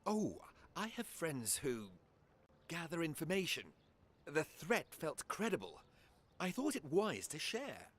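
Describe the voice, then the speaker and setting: An actor playing a secretive elf mage in a video game, suave, arch and evasive. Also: male voice, a little high, very animated, measured pace, a bit bright, full character voice. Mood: coy. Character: sly, secretive male elf court mage. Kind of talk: video game cutscene acting.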